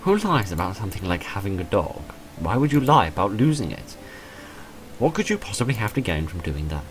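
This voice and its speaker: A man's voice: low-pitched, very animated, brisk. A man voicing a blunt, questioning but forgiving young woman in a visual novel. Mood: suspicious, probing. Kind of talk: visual novel character reading.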